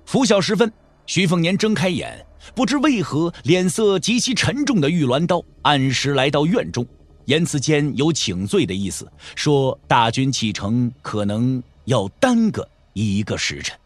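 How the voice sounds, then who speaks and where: A man's voice: on the high side, expressive, normal speed, no echo. A man giving dramatic scripted narration as the storyteller of a martial-arts audio drama.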